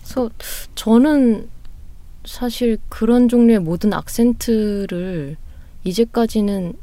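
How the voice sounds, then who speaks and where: A woman co-hosting a casual talk podcast, reflecting slowly and deliberately, often hedging her points. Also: fairly high pitch, dark tone, no room sound. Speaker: podcast co-host. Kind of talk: casual chat.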